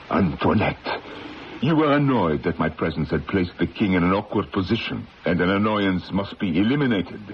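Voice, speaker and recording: An actor playing a vengeful, stern French revolutionary official in a radio drama, cold, commanding and declamatory. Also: male voice, low-pitched, exaggerated voice. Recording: muffled old radio recording, faint noise.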